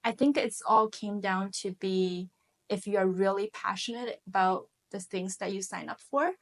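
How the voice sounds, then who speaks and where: A woman's voice: medium pitch, steady tone, normal speed. Young woman from china, chinese native speaker, earnest guest, lots of um and like. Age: 20s. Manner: earnest, many fillers and restarts. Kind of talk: casual interview conversation.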